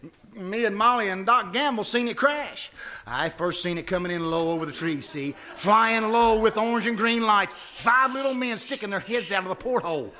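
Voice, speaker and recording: Radio comedy lead: man as boastful small-town husband, high forceful voice, folksy bad grammar, full of bluster. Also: animated, normal speed. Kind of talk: old-time radio comedy acting. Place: live stage performance at a winery.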